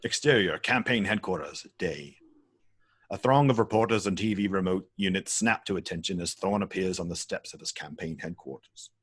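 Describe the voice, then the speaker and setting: A man's voice: deep voice, normal speed, a bit bright, husky, dry recording. A man reading stage directions as the narrator of a script table read.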